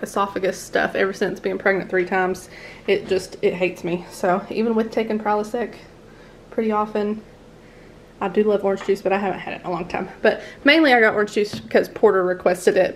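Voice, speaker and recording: A chatty woman talks through her groceries at the dining table, laughing easily and drifting into asides. Her pitch sits in a middle range. A faint room hum is heard behind her. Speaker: family vlogger. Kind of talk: casual grocery haul chat. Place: home dining room, indoors.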